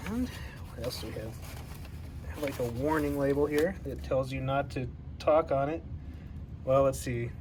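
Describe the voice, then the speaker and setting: A man's voice: mid-range voice, normal speed, long pauses. An American man giving a casual, hands-on demonstration as a hobbyist, recorded at home with a furnace running. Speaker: hobbyist presenter of a radio overview. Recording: loud furnace noise behind.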